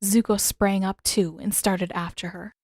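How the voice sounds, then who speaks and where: Female audiobook-style fiction reader, medium pitch, expressive scripted delivery, no room sound. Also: unhurried, dry recording, clean studio sound. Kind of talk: expressive scripted story narration.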